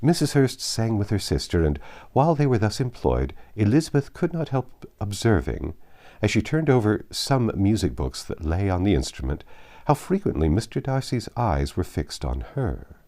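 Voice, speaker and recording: A man's voice: fairly low pitch, very animated, moderate pace, dark tone. A man reading classic fiction aloud as a narrator. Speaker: audiobook narrator. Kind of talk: scripted literary narration.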